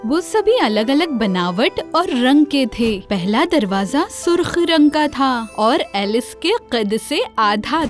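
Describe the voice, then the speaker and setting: High, animated woman storyteller. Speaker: storyteller, narrator. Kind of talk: scripted children's fairy tale narration.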